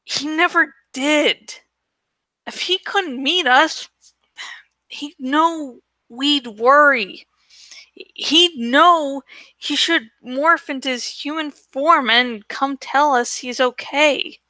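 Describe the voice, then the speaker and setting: A woman voicing a frustrated, sharp-tongued and anxious teenage boy in a read-aloud adventure story. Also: high voice, slow pace, no echo, full character voice. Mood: worried.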